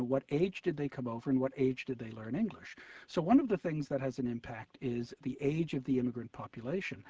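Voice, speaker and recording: A man's voice: medium pitch, dark tone, slightly rough, no echo. A man in his sixties, an English professor who is a guest on a radio call-in show, explaining at length with frequent hesitations. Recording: slightly muffled radio broadcast.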